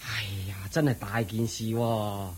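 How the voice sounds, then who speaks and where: An actor playing a curious, sympathetic village uncle in a Cantonese radio drama, exclaiming in a husky Hong Kong Cantonese voice. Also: male voice, on the low side, flat delivery, unhurried. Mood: curious, concerned. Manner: exclaiming, inquisitive.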